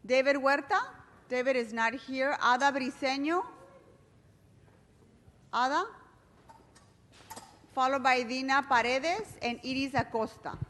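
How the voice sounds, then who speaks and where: A woman chairing a council meeting, briskly calling speakers and keeping order in two languages. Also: sits high, a bit bright. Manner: brisk, switches between English and Spanish. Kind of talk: procedural meeting announcements. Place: large council chamber. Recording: long hall reverb with faint room noise.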